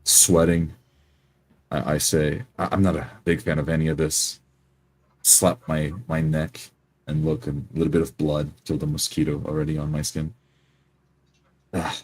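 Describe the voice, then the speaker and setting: Very deep-voiced man improvising roleplay. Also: level tone, normal speed, pauses often, darkish tone, breathy edge. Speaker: roleplay game player. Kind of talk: improvised first-person roleplay.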